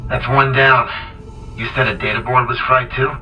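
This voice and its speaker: A weary male engineer in a video game, sounding muffled and set back from the mic. He talks tersely and practically at a middling pitch, over faint music. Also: steady tone, normal speed, sharp tone, far from the mic. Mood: focused. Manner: terse, matter-of-fact. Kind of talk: dramatic video game acting.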